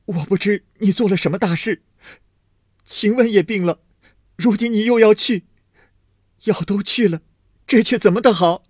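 Guy doing high emotional young noble boy, swoops all over, dry close mic. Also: normal speed, long pauses, darkish tone, no echo. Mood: pleading. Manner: pleading, grieved, indignant. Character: tender-hearted young nobleman, excitable and emotional.

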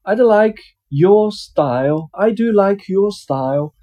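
A man doing a loud hungry big bad wolf. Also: high-pitched, lively intonation, slow, muffled recording, pitched above the performer's own voice, full character voice. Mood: gloating. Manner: loud and shouting.

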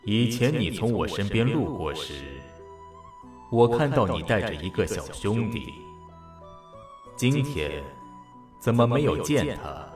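Distant and echoey, a man voices a mysterious bartender who serves gods. He is serene and hospitable, with a low leisurely drawl, in radio-drama style. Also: sing-song, a little slow, pauses often, a little mellow. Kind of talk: Mandarin audio drama acting. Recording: echoey, distant voice over music.

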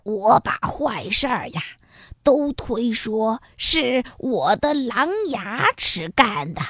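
Woman as wicked old storybook wolf, male, wheedling, whiny, slow drawn-out delivery, roomy sound. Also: on the high side, big pitch swings, a bit bright, clean studio sound, heavily acted. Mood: scheming. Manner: fake sobbing and wheedling, drawn-out words.

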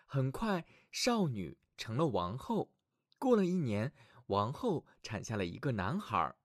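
A man tells a fairy tale as a storyteller for children. His scripted narration is dramatic and clearly phrased, with a pitch that rises and falls widely. The recording is dry and close. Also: sing-song, unhurried, dry recording. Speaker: children's storyteller, show host.

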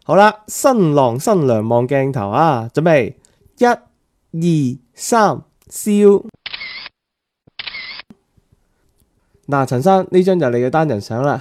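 A man's voice: mid-range voice, big pitch swings, unhurried, darkish tone, slight background noise. A man playing a chatty, money-minded wedding photographer in radio drama. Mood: falsely cheerful. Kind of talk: Cantonese radio drama acting.

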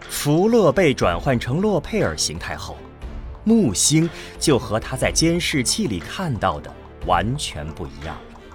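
A man's voice: medium pitch, wildly swooping pitch, a little slow, soft background music. A man narrating a science fiction radio drama in a scripted storytelling style.

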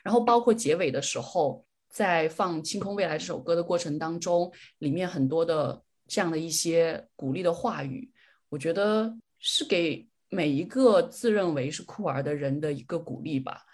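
A young woman hosts a casual podcast in a slightly low, husky voice. She talks reflectively, sharing her views and repeating words when she wants to stress a point. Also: moderate pace, darkish tone. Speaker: podcast host. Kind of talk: casual solo podcast chat, sharing film opinions.

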